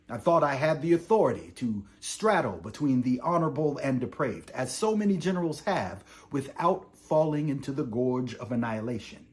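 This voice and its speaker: A male actor playing a weary, defiant imprisoned revolutionary in a theatrical monologue, reading a letter to his parents with deliberate, oratorical delivery. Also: on the high side, close to an everyday voice. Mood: impassioned. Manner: deliberate oratorical delivery swinging from hushed to impassioned. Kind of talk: dramatic theatrical monologue, letter read aloud.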